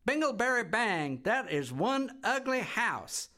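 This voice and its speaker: A rude young girl bug from a storybook blares her taunts in a high, squeaky voice. She is loud and giggly, and she mocks everyone around her, voiced by a man reading the story. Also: big pitch swings, slow pace, full character voice. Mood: mocking.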